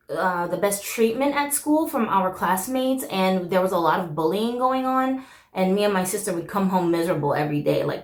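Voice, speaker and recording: Woman host in her thirties, korean native speaker, talks openly and casually, mid-pitched, roomy echo. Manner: candid, chatty, frequent "like" and "um" fillers. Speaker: channel host. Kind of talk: casual chat to camera.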